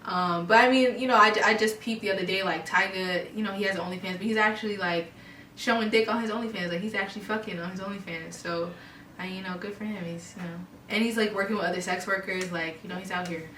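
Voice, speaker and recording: A woman's voice: mid-range voice, fairly even intonation, fast talker, a bit bright, slight background noise. A young adult woman from Baltimore, a guest in a casual interview, talking bluntly and frankly with plenty of swearing and fillers. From Baltimore, USA. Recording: echoey room, voice a bit far from the mic.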